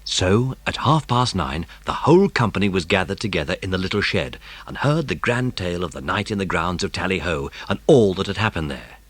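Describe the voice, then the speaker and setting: A man's voice: sits low, sing-song, brisk, hardly any pauses, no echo. A man with a British accent narrating a children's story in a scripted audiobook style.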